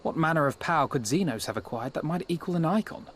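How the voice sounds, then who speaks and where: A man's voice: fairly high pitch, lively intonation, fast, slight background noise, natural voice. A man playing a courteous, thoughtful officer who speaks formally and eloquently in a video game cutscene. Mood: concerned.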